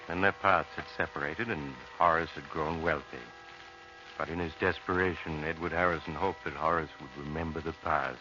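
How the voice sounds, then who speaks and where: An ominous horror storyteller from old-time radio, extremely deep and measured. The delivery is theatrical, on a dull recording with heavy noise. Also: male voice. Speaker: narrator. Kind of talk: 1940s radio horror narration.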